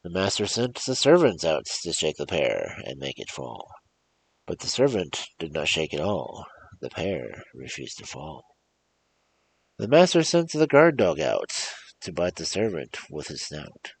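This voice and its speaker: A man with a gravelly, husky voice hosting a storytelling show, reading fairy tales in a theatrical, emphatic, rhythmic way. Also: extremely low pitch, sing-song, unhurried, poor audio. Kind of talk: animated performed fairy-tale reading with casual asides.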